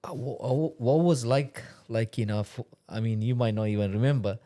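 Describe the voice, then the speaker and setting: Mellow man asking halting questions. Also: medium pitch. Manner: hesitant, searching for words as he asks. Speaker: podcast co-host. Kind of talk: interview questions.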